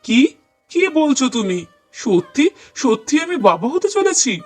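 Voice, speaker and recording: A man's voice: very high-pitched, big pitch swings, takes it slowly, darkish tone, heavily acted. A man playing an affectionate young husband in a cartoon, speaking earnestly and tenderly with emphatic repetition. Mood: overjoyed. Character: affectionate young husband, delighted father-to-be, gentle and doting. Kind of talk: cartoon dialogue acting. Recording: voice over prominent music bed. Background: music.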